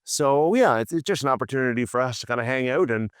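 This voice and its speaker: Relaxed historian, smooth voice. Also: male voice, mid-range voice, fast talker, no echo, clean studio sound. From Canada. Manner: relaxed, hedging with "you know" and "uh". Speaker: historian guest. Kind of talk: casual interview.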